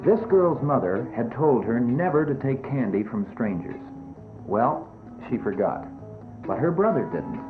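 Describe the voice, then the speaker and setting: A man's voice: medium pitch, big pitch swings, measured pace. A man narrating a scripted educational film, admonishing children directly over background music on a muffled 1970s soundtrack.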